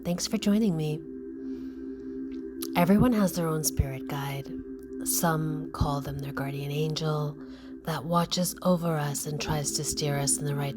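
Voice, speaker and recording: A woman gently guiding a meditation in a soft, breathy, slow and soothing voice. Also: deep voice. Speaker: meditation guide. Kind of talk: guided meditation narration. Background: music.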